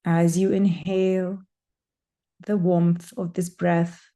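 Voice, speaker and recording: A woman leading a guided breathing meditation, speaking in slow, soothing, drawn-out phrases with long pauses. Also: fairly low pitch, little pitch movement, mellow.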